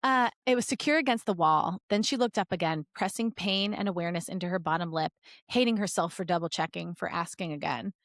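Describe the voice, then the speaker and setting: A playful woman co-hosting a podcast, moving between expressive story reading and casual banter. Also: fairly low pitch, brisk, no room sound, studio quality. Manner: playful, giggly. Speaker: podcast co-host reading fan fiction aloud. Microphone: close podcast microphone.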